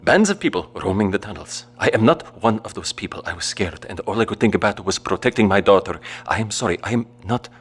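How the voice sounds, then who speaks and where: An actor playing a gentle, devout father and wary survivor in an audio drama, speaking in a measured, formal, polite way. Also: on the low side, lively intonation, slightly rough. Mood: earnest, remorseful.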